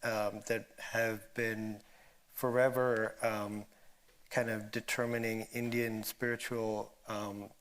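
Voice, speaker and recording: Halting, thoughtful male moderator. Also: lowish voice, level tone, fairly bright tone. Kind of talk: unscripted discussion question.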